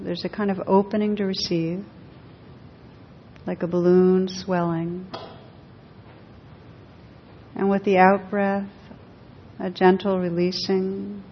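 Female meditation teacher, very slow and gentle, slightly low register; long hall reverb on the recording. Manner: gentle, unhurried, with long pauses between short phrases. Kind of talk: guided meditation to a group. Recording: dull echoing hall recording, faint rumbling noise.

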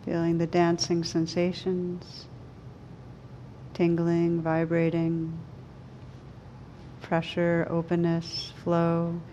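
A woman leading a guided meditation, speaking gently and soothingly in slow, unhurried phrases with pauses. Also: on the low side, monotone, lo-fi sound, slight background noise. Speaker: meditation teacher.

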